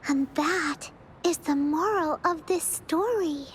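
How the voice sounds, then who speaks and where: A woman's voice: high voice, slow pace, a little hiss or hum. A woman voicing a timid, wistful young girl in a video game, speaking hesitantly. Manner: hesitant, soft-hearted.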